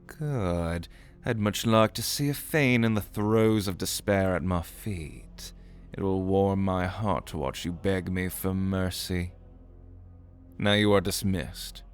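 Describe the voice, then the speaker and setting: A man's voice: deep voice, takes it slowly, faint music behind. A man playing a haughty, menacing academy fraternity president in an audio roleplay, speaking in a cold, imperious manner. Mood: gloating, dismissive.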